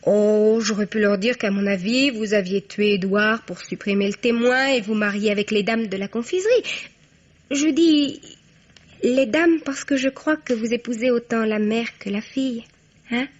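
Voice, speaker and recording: An actress plays a young woman blackmailer in a radio crime play. Her voice is fairly high, chatty and full of sly, mock-innocent taunts. The sound is dull and band-limited. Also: normal speed, lightly acted. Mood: taunting, insinuating. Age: young adult. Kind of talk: French radio crime drama acting.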